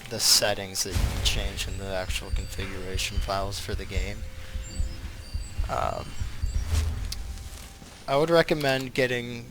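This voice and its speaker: A man giving casual live video game commentary as a streamer, rambling and thinking aloud with halting pauses. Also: fairly low pitch, noisy background.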